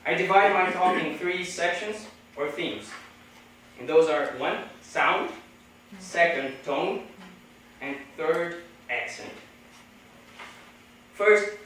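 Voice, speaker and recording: A man's voice: on the high side, a bit bright, slight background noise. Brazilian-accented man lecturing in an echoey hall. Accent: Brazilian Portuguese. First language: Portuguese. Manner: measured, deliberate delivery with pauses. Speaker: conference speaker. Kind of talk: academic lecture. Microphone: podium microphone. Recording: echoey hall, distant mic.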